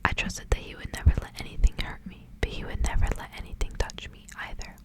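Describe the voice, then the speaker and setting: Female ASMR reader for literary fiction. Whispers throughout, breathy and gentle, narrating in short phrases. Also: quick pace. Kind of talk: ASMR whispered storybook reading.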